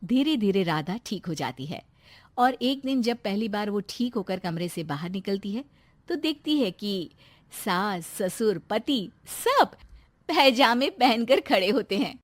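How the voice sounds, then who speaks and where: A woman narrating a cartoon story for children in a soft, steady way. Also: medium pitch, measured pace, no echo.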